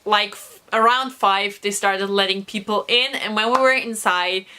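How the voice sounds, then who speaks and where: Hoarse young woman chatting excitedly. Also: medium pitch, moderate pace, slight background noise. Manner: chatty, many fillers, excited retelling. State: hoarse, recovering from lost voice. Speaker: vlogger. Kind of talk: casual storytelling vlog.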